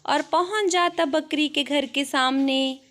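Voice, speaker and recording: A woman's voice: sits high, slow pace, a bit bright. A woman narrating a scripted children's story as the storyteller.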